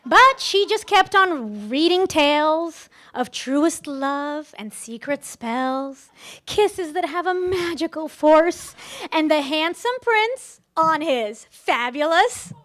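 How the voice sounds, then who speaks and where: A woman's voice: sits high, animated, slow, a bit bright. A woman giving a wedding speech to guests, partly reading a rhyming storybook in a playful, theatrical way. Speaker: wedding speech giver, friend of the bride. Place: live in front of wedding guests.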